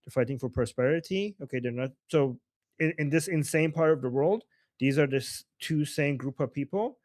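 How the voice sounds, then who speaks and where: A man's voice: on the high side, moderate pace, a little mellow, no room sound. A man from Iran hosting a live political discussion, arguing emphatically and dropping rhetorical "right?" and "okay?". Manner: emphatic, argumentative, rhetorical tag questions. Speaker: host.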